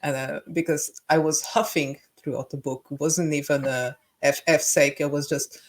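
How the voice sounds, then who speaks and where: A woman telling an anecdote casually in a book discussion, haltingly, repeating words as she goes. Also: sits low, fairly even intonation.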